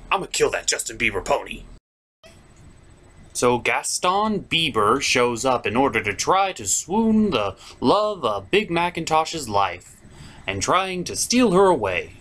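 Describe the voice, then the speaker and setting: A man's voice: a little high, big pitch swings, slow pace. A man hosting a jokey, scripted cartoon recap in a theatrical, sarcastic way. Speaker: host of a cartoon episode review.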